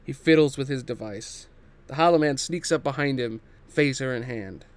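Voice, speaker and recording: A man's voice: mid-range voice, normal speed. A man narrating a screenplay's action and scene headings in a scripted table read.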